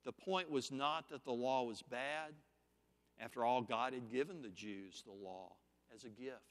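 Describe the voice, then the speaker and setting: A man preaching a sermon, teaching scripture point by point in a slow, deliberate, emphatic way. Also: mid-range voice, fairly bright tone, long reverb tail.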